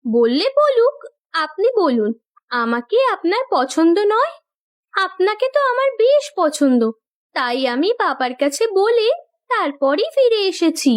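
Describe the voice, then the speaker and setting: This is a young, rich-born bride from a cartoon tale, acted by a woman. Her voice is extremely high and girlish. She speaks earnestly and insistently, loving yet stubborn. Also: very animated, unhurried, exaggerated voice. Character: determined, loving young landlord's daughter turned farmer's wife. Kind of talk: cartoon story voice acting.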